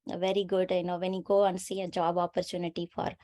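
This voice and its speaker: A woman with a lowish pitch hosts a webinar over a video call. Her is Tamil, and she circles her points aloud with fillers and self-corrections. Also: flat delivery, fast talker, warm tone. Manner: thinks aloud with many fillers and restarts. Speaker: webinar host. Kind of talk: conversational webinar discussion.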